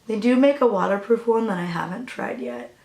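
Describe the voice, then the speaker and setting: A young woman with a raspy voice, chatting casually through a makeup tutorial as a new beauty vlogger. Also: medium pitch, sing-song, brisk, darkish tone, roomy sound, rough recording. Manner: chatty, hesitant, with fillers and apologies.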